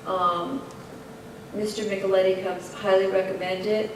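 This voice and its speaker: A woman's voice: mid-range voice, level tone, far from the mic, noisy background. Woman administrator, level delivery, recorded from across a very echoey meeting room. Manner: measured, with frequent "um"s. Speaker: district administrator introducing a speaker. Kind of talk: formal meeting remarks. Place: school district meeting room.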